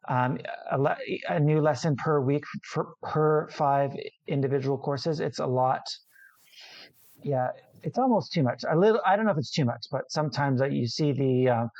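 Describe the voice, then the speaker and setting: A man, an international student, answering interview questions casually, often restarting sentences and filling pauses. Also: mid-range voice, no room sound, lo-fi sound. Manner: hesitant, many restarts and fillers.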